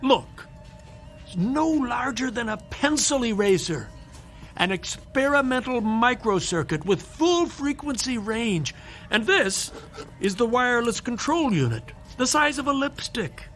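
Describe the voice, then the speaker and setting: Insistent doctor, wry, brisk, high-pitched man's voice, radio drama, soft music behind. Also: sing-song, full character voice. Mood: persuasive, insistent. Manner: dry, sardonic, insistent.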